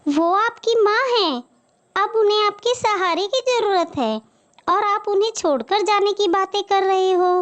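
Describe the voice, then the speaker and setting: A woman's voice: very high-pitched, a little slow, voice pushed up. A woman voicing a sweet, dutiful young daughter-in-law bird in a cartoon, polite and eager to please. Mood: gentle, earnest. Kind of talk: Hindi moral-story cartoon acting.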